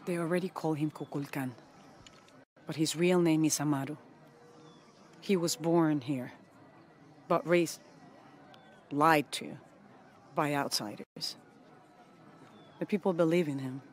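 A middle-aged woman playing a dignified, resolute rebel leader in a video game, speaking with grave, measured authority. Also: deep voice, fairly even intonation, slight background noise. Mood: grave, explaining. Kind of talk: dramatic video game acting.

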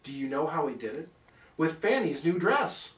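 Male storyteller, theatrical, unhurried, very animated intonation, for rhyming bedtime parody; roomy, slightly distant sound. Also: fairly high pitch. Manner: theatrical, measured. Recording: roomy, somewhat distant microphone.

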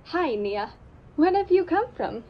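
A woman's voice: very high-pitched, big pitch swings, a little slow, muffled recording, heavily acted. A woman voicing a friendly young female diesel engine who speaks politely and with curiosity, with music underneath.

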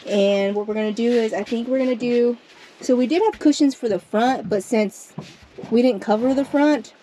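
Mellow-voiced woman vlogging on a boat. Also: fairly high pitch, moderate pace, a little hiss or hum, poor audio. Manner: chatty, rambling, trails off mid-sentence. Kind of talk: casual vlog narration. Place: outdoors on a boat on a lake, under a mesh tarp.

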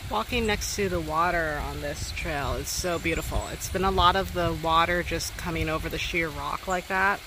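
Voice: medium pitch, normal speed, few pauses, noisy background. A young adult vlogger talking casually to a phone camera held at arm's length while hiking a wooded mountain trail, a little out of breath, thinking aloud with many fillers.

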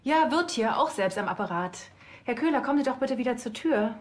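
Fast-talking, cheeky policewoman. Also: a little high, expressive. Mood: smug. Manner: sarcastic, confident. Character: brisk, cheeky, self-assured policewoman. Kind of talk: TV crime comedy acting.

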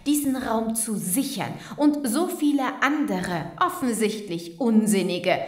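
A woman playing a sharp-witted, curious heiress in an audio drama, politely suspicious, thoughtful and quick to challenge. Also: a little high, expressive. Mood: suspicious, firm. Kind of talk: German audio drama acting. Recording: roomy, echoing sound.

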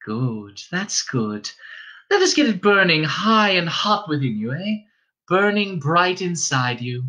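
A man's voice: high voice, big pitch swings, takes it slowly, pushed and bright, muffled recording, voice pushed up, heavily acted. A man voicing a scheming, motherly innkeeper's wife who coos and coaxes with false sweetness. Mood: cooing, falsely sweet. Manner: coaxing, wheedling, sweetly sinister.

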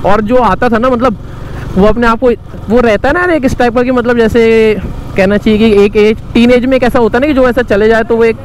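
A man's voice: very high-pitched, steady tone, a little fast, poor audio. Chatty young man riding, traffic noise. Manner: chatty, rambling, friendly asides to viewers. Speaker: motovlogger. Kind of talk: casual riding commentary. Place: on a motorcycle riding outdoors on roads. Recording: wind and traffic noise outdoors.

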